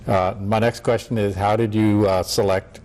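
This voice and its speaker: Male American talk show host, fairly low pitch, measured and orderly interviewing delivery. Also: level tone, darkish tone.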